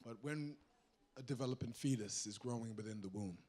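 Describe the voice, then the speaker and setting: Male audience member, measured and thoughtful, asking a question at a live talk. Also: medium pitch, dark tone, breathy edge.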